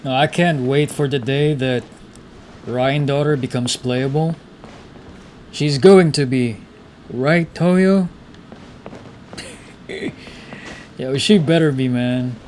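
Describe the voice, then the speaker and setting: A man's voice: medium pitch, slow, pauses often, a little mellow. A man streaming a video game with casual, slangy commentary, musing aloud as he plays. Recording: noticeable background noise, game sound. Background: video game audio.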